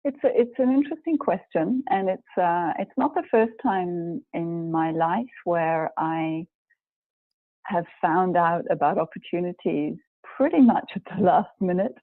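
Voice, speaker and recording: A woman in her forties from New Zealand, an executive coach telling her story as a guest, measured and pausing often to find her words. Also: on the low side, no room sound. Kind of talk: personal storytelling in a live video chat. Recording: tinny, phone-like dry sound.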